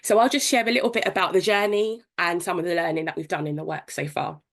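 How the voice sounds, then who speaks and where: A woman's voice: fairly low pitch, fairly even intonation, fast talker. A woman giving a webinar talk, sounding congested from a cold, in a friendly conversational way. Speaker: guest speaker. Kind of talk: webinar presentation.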